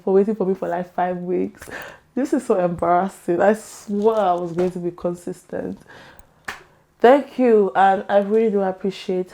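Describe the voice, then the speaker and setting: A woman vlogger with a hoarse, worn-out voice, talking casually and with strong opinions to her camera. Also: mid-range voice, mellow. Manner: chatty, opinionated, laughs often. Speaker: YouTube vlogger.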